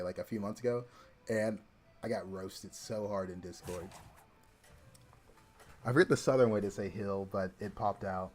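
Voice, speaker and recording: A man's voice: on the low side, darkish tone. A young man from Georgia streaming a card game, chatting casually and thinking aloud with lots of fillers. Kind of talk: casual livestream commentary.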